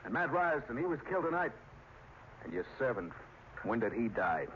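A stern small-town lawman , played by a male actor in a vintage radio mystery. His delivery is blunt, deliberate and accusing. The sound is muffled, with clear noise over it. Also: mid-range voice, very animated, close to an everyday voice. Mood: grim, accusing. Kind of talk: old-time radio drama acting. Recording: muffled, noisy old radio recording.

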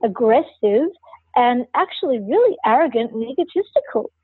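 A forthright, outspoken woman recounts her own story as a podcast guest, her pitch sitting a little high. Her voice comes across husky. It sounds thin and phone-like, with a dry, close sound. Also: very animated, normal speed, no echo. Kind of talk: personal storytelling in an interview.

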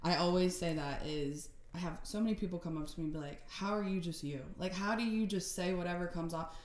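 Guest therapist, woman, low-ish voice, frank and emphatic, echoey room. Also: a little fast, darkish tone, slight background noise. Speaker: psychiatric rehabilitation therapist, podcast guest. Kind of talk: casual conversation.

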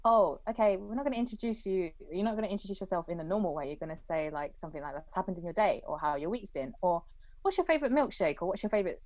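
A woman's voice: lowish voice, animated, quick pace, a little mellow, no room sound. A young British woman hosting a podcast over a video call, chatting casually, laughing often and acting out quoted lines. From Britain. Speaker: podcast host.

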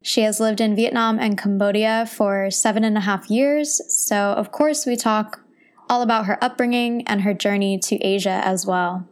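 A woman's voice: mid-range voice, fairly even intonation, moderate pace, clean tone. A woman hosting an interview show in a casual, enthusiastic, conversational way. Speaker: podcast host and interviewer.

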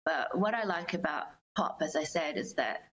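A woman's voice: deep voice, expressive, moderate pace, a bit bright. A young woman answering interview questions as a singer-songwriter, speaking conversationally in a reflective, earnest way. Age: young adult.

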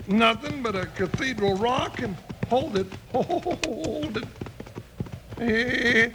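An actor playing a jittery, talkative American deputy sidekick in an old western radio drama, with folksy, fretful speech. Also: male voice, extremely high pitch, takes it slowly. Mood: curious. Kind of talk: 1950s western radio acting. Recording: noisy old radio broadcast.

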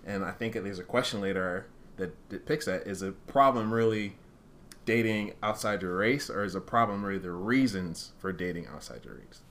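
A man's voice: fairly low pitch, normal speed, a bit bright, a little hiss or hum. A man speaking casually and candidly as a podcast co-host, thinking aloud and often hedging as he reasons through his points. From Uganda-born, raised in Rwandan culture.

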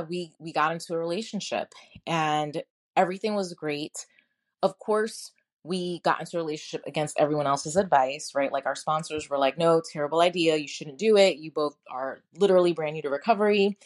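Low-voiced candid woman with New York accent. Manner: candid and conversational, filler-heavy, laughs at herself. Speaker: podcast host. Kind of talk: personal storytelling podcast.

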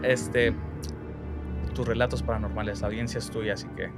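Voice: medium pitch, normal speed, over music. Hesitant host of a live stream. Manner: hesitant, filler-heavy, lively. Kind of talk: casual live-stream hosting.

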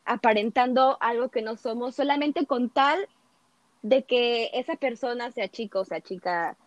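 Chatty woman with a high, dull-sounding voice. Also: unhurried, no echo. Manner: chatty, with many fillers and restarts. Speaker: podcast host. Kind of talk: casual podcast chat.

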